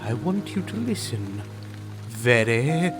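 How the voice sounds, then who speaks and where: An actor playing a mysterious hypnotic villain in an audio drama, speaking deliberately and commanding obedience. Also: male voice, mid-range voice, sing-song, over music. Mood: controlled, menacing.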